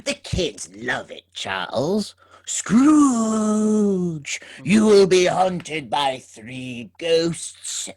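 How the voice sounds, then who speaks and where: A man's voice: sits high, sing-song, extremely slow, darkish tone, full character voice. An actor playing the wisecracking ghost of an old miser in a comic radio musical, joking, punning and singing his warnings. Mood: ominous. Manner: jokey and pun-loving, breaks into song.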